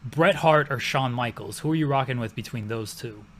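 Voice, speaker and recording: A man co-hosting a podcast, asking relaxed, conversational questions. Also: mid-range voice, moderate pace. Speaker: podcast co-host. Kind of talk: casual interview questions.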